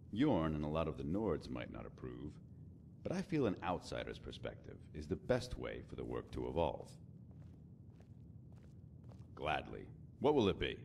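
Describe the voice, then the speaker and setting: A man acts out a thoughtful, genial poet-bard from a fantasy video game. His baritone is extremely low with a gravelly edge, and it moves with lively, expressive melody as he muses in an easygoing way. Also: a little hiss or hum, full character voice. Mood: reflective, then poetic. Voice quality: deep baritone. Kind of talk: scripted fantasy game dialogue.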